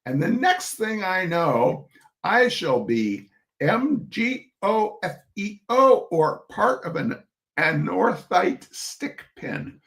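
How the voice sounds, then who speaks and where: A man reading comic essays aloud to listeners in a theatrical, expressive way, breaking off for chatty, wry asides. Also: high voice, takes it slowly, gravelly. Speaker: reader of humorous essays.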